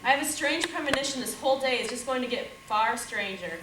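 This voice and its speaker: An actress playing a fierce, foul-mouthed French warrior maid, defiant and fiery, in a stage play recorded in an echoey room from a distance. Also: female voice, sits high, lively intonation, fast talker, background noise.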